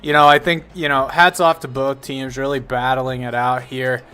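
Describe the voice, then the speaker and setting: A man's voice: mid-range voice, level tone, normal speed, sharp tone, smooth, soft background music. Chatty, rambling male color commentator. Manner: chatty, rambling, with frequent restarts and "you know".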